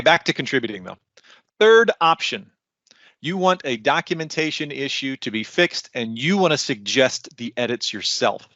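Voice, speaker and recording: A man's voice: mid-range voice, fairly bright tone, dry recording, dull audio. A man giving an informal, conversational instructional walkthrough as a conference presenter. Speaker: conference presenter, documentation team member.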